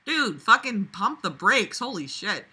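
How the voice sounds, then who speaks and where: A woman's voice: medium pitch, sing-song, a little slow, sharp tone, slightly rough. A sarcastic, exasperated woman reading a novel aloud with casual, sweary commentary. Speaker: reader and commentator.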